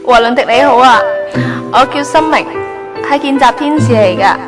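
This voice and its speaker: Woman acting high-voiced young trainee angel, Cantonese. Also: normal speed, natural voice. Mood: shy, curious. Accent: Hong Kong Cantonese. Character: young female trainee angel, earnest, sentimental and naive. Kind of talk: radio drama acting. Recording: background music under the voice.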